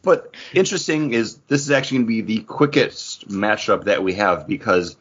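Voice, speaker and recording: A man's voice: lowish voice, expressive, normal speed, fairly bright tone, husky, muffled recording. A man hosting a podcast in casual, chatty pop culture banter. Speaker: podcast host.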